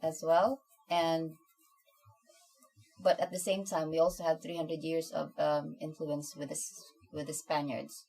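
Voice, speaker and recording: A woman from the Philippines, speaking as an interview guest, hesitant and filling pauses with ums. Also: sits low, little pitch movement, vocal fry, a little mellow, soft background music, low-quality recording.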